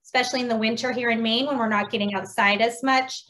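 Higher-pitched woman presenting informally. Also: fairly even intonation, fast, fairly bright tone. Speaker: preschool teacher and trainer. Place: indoors on a video call. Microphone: video call.

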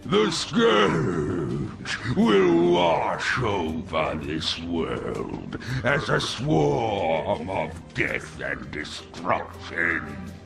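An abyssally deep, grim video game villain. He declaims with slow menace, gravel in every phrase. Music sits clearly behind him. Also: wildly swooping pitch, heavily acted. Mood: menacing. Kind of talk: video game character acting.

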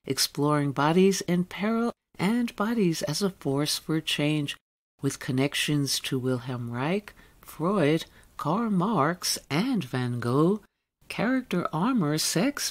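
A woman working as a radio host, asking interview questions and reading scripted links. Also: low voice.

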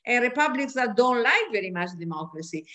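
An older woman speaking as an academic panelist on a video call, halting as she searches for words. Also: fairly high pitch, sing-song, fairly bright tone. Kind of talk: unscripted panel discussion over video call. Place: small room at home, indoors. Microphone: computer mic on a video call.

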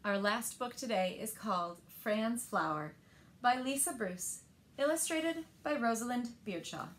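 A woman in her thirties reads picture books aloud to young children with expressive, deliberate phrasing, recorded indoors in a living room. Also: fairly high pitch, slight background noise. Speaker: storyteller at a library story time.

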